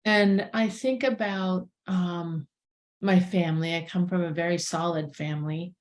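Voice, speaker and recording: A woman's voice: on the low side, fairly even intonation, a little slow. A woman in her sixties from inner-city Boston, a guest on a podcast, talking in a thoughtful, searching way with restarts and light laughs. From inner-city Boston, USA. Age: 60s. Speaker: podcast guest, herbalist and plant spirit medicine practitioner. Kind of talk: casual reflective conversation.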